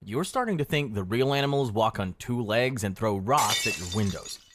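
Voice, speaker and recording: A man's voice: fairly low pitch, lively intonation, normal speed, background noise. A man reading scripted second-person narration for a history explainer video in a darkly wry, dramatic storytelling manner.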